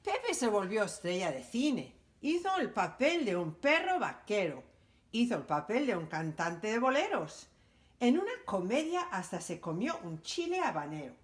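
A woman reading a children's picture book aloud for library storytime, in an animated, sing-song storytelling manner. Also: medium pitch, moderate pace.

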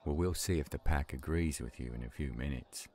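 A man acting an earnest young werewolf pack leader in a roleplay audio drama, speaking in a measured way. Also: rumbling low, a little mellow. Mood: friendly, grateful.

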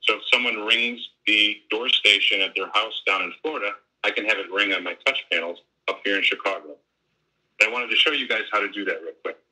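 Very bright, lowish-pitched man. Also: brisk, rough recording. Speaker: technical specialist giving a demonstration. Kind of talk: conversational technical explanation. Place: home room, indoors, on a video call. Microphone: wired earbuds on a laptop video call.

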